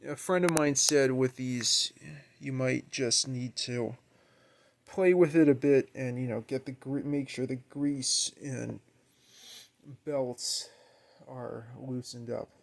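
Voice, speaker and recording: Gravelly-voiced man, casually thinking aloud. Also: mid-range voice, slow pace. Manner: hesitant, musing, thinking aloud. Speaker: amateur video maker demonstrating equipment. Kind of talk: casual unscripted demonstration. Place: carpeted room at home, indoors.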